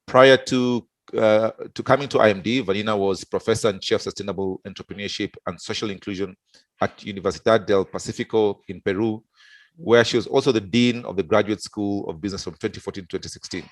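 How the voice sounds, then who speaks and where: A man from Africa hosting a webinar and introducing the guest speaker, halting often with ums and uhs. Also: lowish voice, steady tone, no echo. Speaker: webinar host.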